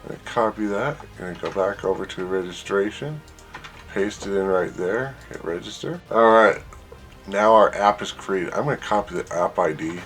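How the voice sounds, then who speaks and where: A man presenting an informal software tutorial, thinking aloud as he works through each step. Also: low-pitched, normal speed, soft background music. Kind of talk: casual screen-share walkthrough.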